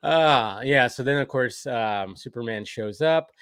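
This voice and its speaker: A man talks through an episode recap in a loose, casual way. His voice sits at an average pitch, recorded close and dry with no room sound. He rambles, leaning on "like" and "you know" as he goes. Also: a little slow, a bit bright, clear, resonant tone, no room sound. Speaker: co-host of a cartoon episode review stream.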